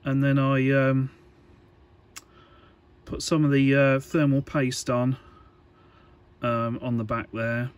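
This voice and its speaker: Guy doing a diy tutorial, really slow n flat, kinda halting, thinking out loud. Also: mid-range voice, smooth. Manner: halting, hesitant, thinking aloud. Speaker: electronics hobbyist presenter. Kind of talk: informal hands-on tutorial narration.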